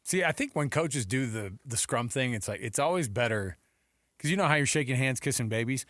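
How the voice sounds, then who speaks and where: A man's voice: mid-range voice, animated, fairly quick, a bit bright, no echo, clean studio sound. A middle-aged man hosting casual sports talk radio, telling stories in a jokey way and acting out mock quotes.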